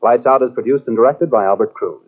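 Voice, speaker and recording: Vintage radio announcer, male, mid-pitched, scripted delivery, heavily band-limited old broadcast sound. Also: fast, narrow, tinny audio, very noisy.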